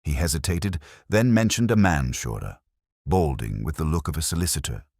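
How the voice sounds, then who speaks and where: Guy doing a brooding inspector, super low husky voice, rises and falls a lot, detective audio drama narration. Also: lively intonation, a little mellow, no room sound, studio quality, heavily acted. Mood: measured, sceptical. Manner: measured, brooding, literary delivery. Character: weary, cerebral English male police inspector narrating a case.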